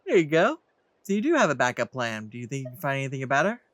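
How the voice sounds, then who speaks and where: A woman's voice: rumbling low, very animated, fast, no echo. A woman giving casual, reactive commentary as the host of a video game playthrough. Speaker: let's play host. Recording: game music behind the voice.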